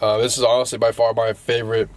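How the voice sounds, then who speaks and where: A man's voice: mid-range voice, monotone, quick pace, no room sound. A young man co-hosting a casual car vlog, describing from the driver's seat how the car handles. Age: young adult. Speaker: co-host driver. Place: inside a small car.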